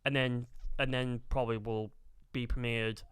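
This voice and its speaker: A man co-hosting a casual discussion, speaking haltingly and repeating words as he goes. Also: mid-range voice, flat delivery, fairly bright tone, clean tone, no room sound. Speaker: podcast co-host. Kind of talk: casual chat about video game films. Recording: music behind the voice.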